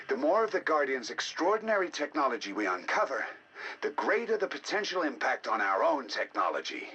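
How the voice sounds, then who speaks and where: An actor playing a learned, eager researcher, narrating game audio logs in a measured, articulate, intrigued manner. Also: male voice, mid-range voice, bright, forceful tone, slight background noise, low-quality recording. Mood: fascinated, eager. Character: learned male researcher, eager and fascinated by alien ruins.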